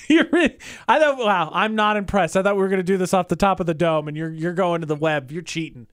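A woman's voice: on the low side, fairly quick, dry recording, clean studio sound. A woman co-hosting a talk show in casual, wry, sarcastic banter. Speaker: radio show co-host.

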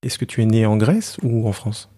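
A man's voice: on the low side, expressive, moderate pace, mellow. A man hosting an interview podcast, presenting his guest and putting questions. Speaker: podcast host, professional coach. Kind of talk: scripted introduction and interview questions.

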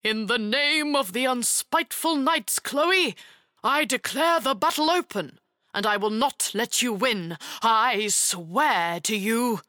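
Little storybook knight, played by a woman: solemn, gallant, slightly flustered, deliberate pacing, lively high pitch. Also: no echo, clean studio sound. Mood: defiant, solemn. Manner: earnest, solemn, flustered at times. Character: tiny earnest male knight, gallant protector of children. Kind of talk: dramatised children's fairy tale reading.

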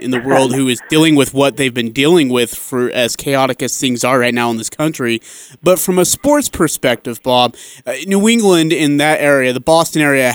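A man's voice: medium pitch, smooth, no echo. A man hosting a sports radio show, putting questions to a guest in an interview.

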